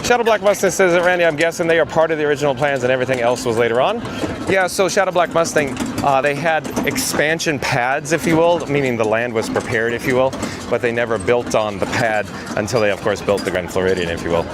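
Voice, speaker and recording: A hoarse man with a raspy voice hosting a live theme park vlog outdoors, chatting and reading viewer comments aloud. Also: mid-range voice, fast talker, noisy background. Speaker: livestream host.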